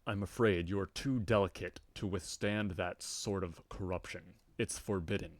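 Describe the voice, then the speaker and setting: A man's voice: low voice, steady tone, moderate pace, no echo, lightly acted. A man voicing a cold, commanding male troll who speaks in stiff, formal orders. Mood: stern.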